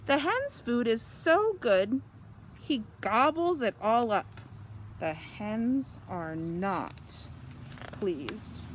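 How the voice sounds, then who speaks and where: A woman's voice: on the high side, big pitch swings, very slow, slight background noise. A woman reading a picture book aloud for young children, explaining simply and expressively as she goes. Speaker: children's story reader.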